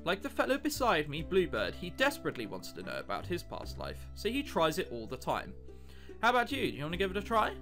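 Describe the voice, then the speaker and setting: Man reading a chatty, lively female train passenger. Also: high-pitched, fast, faint music behind, heavily acted. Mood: friendly, chatty. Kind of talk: visual novel dialogue read aloud.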